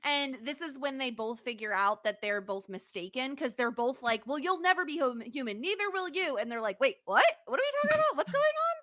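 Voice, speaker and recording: A woman's voice: high-pitched, big pitch swings, brisk, few pauses, bright, forceful tone. A woman co-hosting a podcast, chatting casually through an episode recap in an informal, giggly way. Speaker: podcast co-host.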